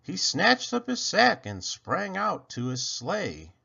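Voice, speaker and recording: A man reading a rhyming picture book aloud to children in a theatrical, deliberate way. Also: high voice, huge pitch swings, gravelly, pushed and bright, slightly rough, muffled recording. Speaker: children's storybook reader.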